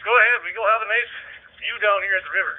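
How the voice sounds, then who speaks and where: A man talks quickly over a narrow police radio channel. He is an officer in the field, and faint noise runs through the transmission. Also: high voice.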